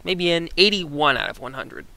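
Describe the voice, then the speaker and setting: A man with a fairly high, forceful voice delivers conversational review commentary. He is animated and emphatic, and his voice is crisp, recorded dry and close. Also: moderate pace, no echo. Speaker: co-host reviewer.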